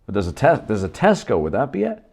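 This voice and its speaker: A man's voice: lowish voice, huge pitch swings, fast, vocal fry, a little mellow, pitched above the performer's own voice, full character voice. A man voicing a friendly, helpful shop assistant.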